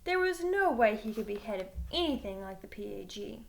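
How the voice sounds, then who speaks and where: A woman with a high, slightly creaky voice reads a first-person children's novel aloud. Her delivery is animated, and she leans on key words for emphasis. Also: brisk. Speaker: teacher reading a novel aloud.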